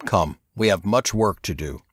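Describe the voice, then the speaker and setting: A grave, assured investigator from an audiobook voiced by a male narrator explains things in a measured, didactic way. His voice sits fairly low with varied intonation, in a dry recording. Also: animated, no echo. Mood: grave, somber. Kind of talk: audiobook character dialogue.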